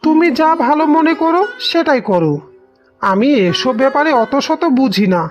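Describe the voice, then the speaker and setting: A woman's voice: sits high, expressive, normal speed, dull audio, faint music behind. A woman voicing a submissive, hesitant village husband in a cartoon, speaking deferentially. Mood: submissive, resigned. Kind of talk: dramatic cartoon voice acting.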